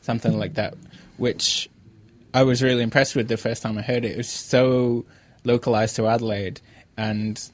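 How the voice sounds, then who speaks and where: An Australian man co-hosting a podcast, chatting casually in a halting, thoughtful, informal way. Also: mid-range voice, level tone. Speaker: podcast co-host, interviewer. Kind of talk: casual chat.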